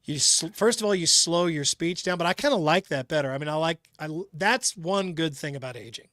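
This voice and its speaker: Middle-aged male co-host, rambling casual chat, bright and fairly high. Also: fairly quick, dry recording, studio quality. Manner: rambling, self-interrupting.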